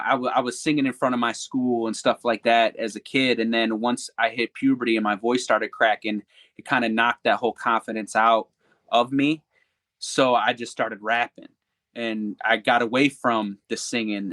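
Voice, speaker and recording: A man's voice: mid-range voice, fairly even intonation, normal speed, fairly bright tone, no room sound. A man on a podcast as a guest artist, talking in a candid, rambling way with restarts and fillers. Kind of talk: casual interview chat.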